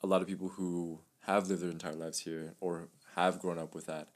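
A young man with a very low voice talks through a relaxed podcast chat. He rambles with fillers and laughs easily. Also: moderate pace. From Mexico. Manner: chatty, laughs often, many fillers. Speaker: podcast co-host. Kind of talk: casual chat.

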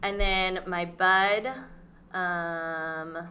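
Bright-voiced woman hosting a podcast. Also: lowish voice, fairly even intonation, very slow, slight background noise. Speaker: podcast host, teacher. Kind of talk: casual podcast chat.

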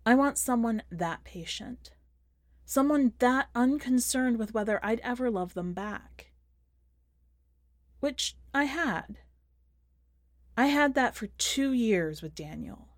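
A woman reading a first-person short story in a measured, expressive audiobook style. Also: on the high side, no echo, clean studio sound. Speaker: podcast host and fiction reader.

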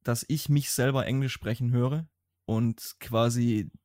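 Young man, Swabian accent, podcast co-host, rambling casual chat, medium pitch, dry close recording. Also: steady tone, a little slow, darkish tone, no echo. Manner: rambling, restarts sentences, chatty.

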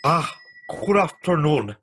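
A man's voice: a little high, very animated, slow, fairly bright tone, a little hiss or hum, full character voice. A man playing a courteous, fussy clock repairer in his fifties who repeats himself politely, in vintage radio drama style. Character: courteous, fussy 56-year-old male clock repairer shopkeeper.

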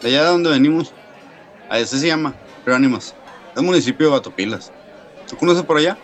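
Actor as inquisitive, practical engineer. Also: male voice, on the high side, animated, brisk, natural voice. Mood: curious. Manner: casual, questioning. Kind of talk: radio drama dialogue. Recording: café crowd murmur, indoor room.

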